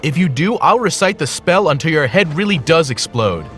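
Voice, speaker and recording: An actor playing a calm but stern, sly monk in an animated children's story, speaking in a gentle yet firm, instructive way. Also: medium pitch, normal speed, soft background music.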